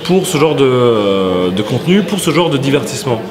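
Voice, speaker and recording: A man from France, a company head as interview guest, answering in a halting way with many pauses and restarts. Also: medium pitch, big pitch swings, a crowd in the background. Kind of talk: hesitant conversational interview answers.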